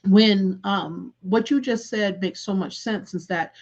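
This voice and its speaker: A woman's voice: mid-range voice, a little slow, darkish tone. Earnest woman educator on dull audio. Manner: earnest and reflective, with many restarts. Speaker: educator and author guest. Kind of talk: casual conversational interview.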